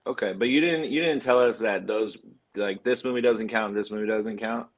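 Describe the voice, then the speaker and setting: A man's voice: lowish voice, normal speed. A man co-hosting a casual film discussion, speaking in a somewhat hesitant way with frequent ums. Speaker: podcast co-host.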